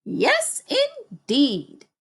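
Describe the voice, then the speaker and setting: A woman voicing an eager, curious animal friend in an animated storybook reading. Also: extremely high pitch, drawn-out words, pitched above the performer's own voice, full character voice. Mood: surprised, eager.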